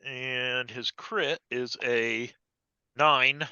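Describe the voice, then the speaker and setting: A man plays a tabletop roleplaying game, counting out his dice results at a slow pace. His voice is clear and bright, though the dry online-call recording dulls it. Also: mid-range voice, fairly even intonation, no room sound, highs cut off. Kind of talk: casual game talk, reading out dice rolls.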